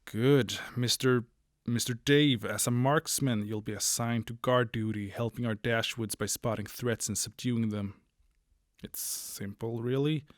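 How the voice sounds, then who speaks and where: A man's voice: medium pitch, steady tone, unhurried, no room sound, close to an everyday voice. Officious, awkward army major played by a man, dry sound. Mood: brisk, awkward. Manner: hesitant, clipped, bureaucratic. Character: officious, petty, awkward military major giving orders. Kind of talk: post-apocalyptic audio drama acting.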